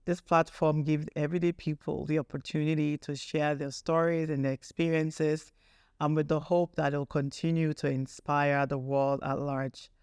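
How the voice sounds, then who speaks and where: A woman's voice: low voice, flat delivery, moderate pace, darkish tone, clean tone, dry recording, clean studio sound. A woman hosting an interview podcast, introducing and addressing people in an enthusiastic, effusive way. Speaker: podcast host.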